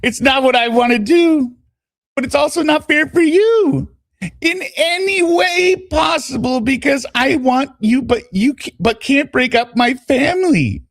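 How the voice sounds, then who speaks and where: A man voicing a lovelorn, dramatic lover with a put-on Southern accent and a drawn-out, pleading delivery. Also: very high voice, fairly bright tone, no echo, studio quality, voice pushed up, heavily acted. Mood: apologetic, anguished.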